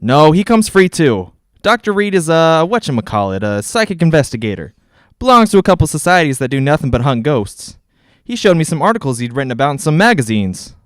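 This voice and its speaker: A man's voice: fairly high pitch, very animated, a little fast, no echo, clean studio sound. A man playing a folksy, eager real estate agent with persuasive patter in a 1940s-style radio drama. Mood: breezy, persuasive.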